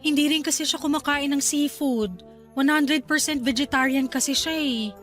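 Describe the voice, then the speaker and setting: An actress playing a sweet, affectionate young bride-to-be in a Filipino radio drama, speaking politely and agreeably. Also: female voice, high-pitched, moderate pace, fairly bright tone, soft background music. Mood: agreeable. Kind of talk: Tagalog radio drama dialogue.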